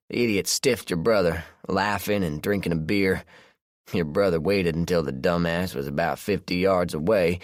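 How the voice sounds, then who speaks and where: A man's voice: sits low, dry recording, studio quality, close to an everyday voice. A man voicing a friendly, easygoing rural man of about fifty with a Southern drawl, in an audiobook. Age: 50s.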